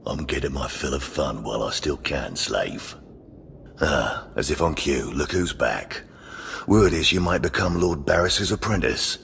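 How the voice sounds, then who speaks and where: An actor playing a gruff, casually cruel Sith jailer in a video game, talking in a taunting, offhand way. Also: male voice, a bass voice, expressive, measured pace, a bit bright, a little hiss or hum, exaggerated voice. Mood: mocking. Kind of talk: scripted video game drama acting.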